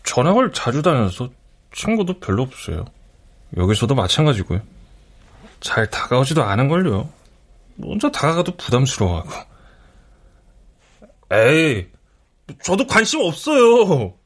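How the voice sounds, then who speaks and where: An actor playing a lonely young man who speaks softly and earnestly. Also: medium pitch, huge pitch swings, unhurried, long pauses, exaggerated voice. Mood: subdued.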